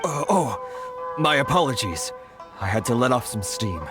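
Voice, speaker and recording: An actor voicing a worried foreign merchant in a game, who sighs often and sounds apologetic. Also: male voice, medium pitch, sing-song, moderate pace, close to an everyday voice. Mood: anxious. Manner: fretful, sighing and apologetic. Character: anxious foreign merchant, sighing and put-upon. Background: game music.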